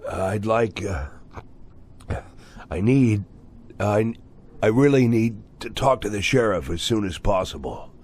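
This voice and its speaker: A man playing a nervous, evasive old suspect in a video game drama, halting, hesitant and mumbling. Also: on the low side, expressive, darkish tone, a little hiss or hum. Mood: hesitant, pleading. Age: older. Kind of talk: subdued video game cutscene acting.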